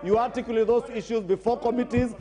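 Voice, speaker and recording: A man's voice: extremely high pitch, fairly even intonation, quick pace, warm tone, big-room sound. A middle-aged Kenyan man, a member of parliament, making a combative, accusing speech in debate. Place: large parliament chamber. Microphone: desk microphone.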